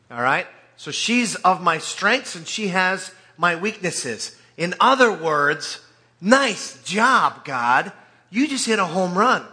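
A man preaching in an informal, conversational way, telling scripture like an animated storyteller with joking asides. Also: a little high. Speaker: preacher or Bible teacher.

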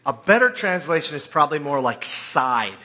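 Bright, forceful male preacher, informally teaching. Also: on the high side, normal speed. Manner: colloquial, animated storytelling with joking asides. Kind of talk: informal conversational sermon with scripture readings.

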